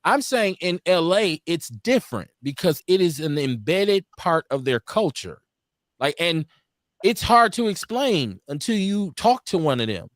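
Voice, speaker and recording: Animated, lively-pitched man in his forties. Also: unhurried, no echo, clean studio sound. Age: 40s. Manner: animated, emphatic, restarts often. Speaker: podcast host. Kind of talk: casual chat.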